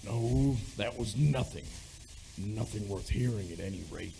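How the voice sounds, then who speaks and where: Man acting a gravelly, measured old sergeant major. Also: fairly low pitch, mellow, a little hiss or hum, low-quality recording. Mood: guarded, ominous. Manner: hesitant, measured, old-fashioned speech. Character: weathered, reluctant middle-aged sergeant major, old family friend. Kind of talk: amateur radio play acting.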